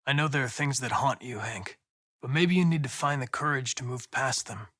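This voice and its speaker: A young man acting as a polite, analytical android police investigator in a video game, speaking in a precise, formal, measured way. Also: medium pitch, fairly bright tone, natural voice. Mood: gentle, sincere. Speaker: android detective.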